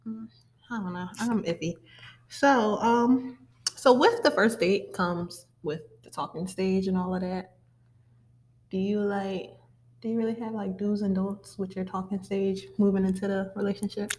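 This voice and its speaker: A woman's voice: mid-range voice, steady tone, unhurried, a little mellow. A young woman co-hosting a podcast, teasing and joking through casual chat with frequent laughter. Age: young adult. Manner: teasing jokester, laughs a lot. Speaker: podcast co-host.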